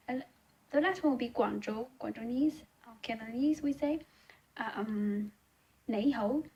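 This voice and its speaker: A young woman from China with a Chinese accent, explaining to camera as a Chinese tutor, earnest and halting with many ums. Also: on the high side, a little mellow, poor audio. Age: young adult. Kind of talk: casual teaching to camera. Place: small indoor room at home. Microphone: laptop webcam.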